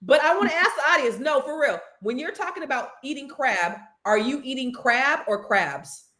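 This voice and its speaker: Teasing, higher-pitched woman from California. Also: moderate pace. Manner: teasing, animated, reads viewer comments aloud. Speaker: co-host. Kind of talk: casual playful banter with a co-host.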